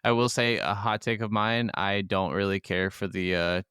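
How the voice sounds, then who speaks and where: A man's voice: on the low side, flat delivery, moderate pace, bright, forceful tone, clean tone, dry recording, clean studio sound. A man co-hosting a casual gaming podcast, talking in an enthusiastic, profanity-laced way. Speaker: podcast co-host.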